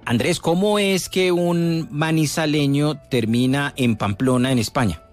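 A man's voice: on the high side, unhurried, faint music behind. A man, a radio reporter who introduces a guest and interviews him politely and formally.

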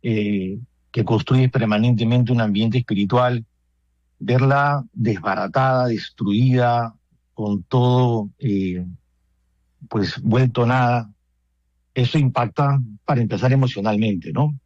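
Peruvian-accented male priest, radio guest, thoughtful, halting delivery, dull dry line. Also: mid-range voice, a little mellow, dry recording. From Peru. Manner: halting, thoughtful, many restarts and fillers.

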